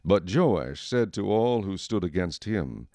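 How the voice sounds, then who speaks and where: Guy narrating bible, lowish voice, formal and measured but really moving pitch. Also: big pitch swings, mellow, no room sound.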